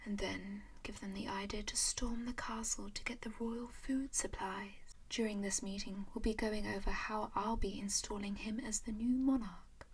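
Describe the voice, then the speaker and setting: A woman voicing an ancient, genteel female vampire in an ASMR roleplay, whispering softly and dotingly in an unhurried way. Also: medium pitch, steady tone. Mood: matter-of-fact. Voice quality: whispered, breathy.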